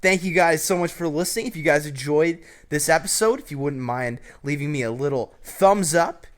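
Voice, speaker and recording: Brash young male advice vlogger, slightly high. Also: normal speed. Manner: brash, joking, with swearing. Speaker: advice show host. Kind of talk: casual advice commentary over gameplay.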